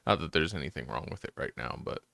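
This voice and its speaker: Male streamer, nasal, congested, very low gravelly voice, rambling casual commentary. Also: fast, background noise. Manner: rambling, relaxed, many fillers. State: congested and just woke up.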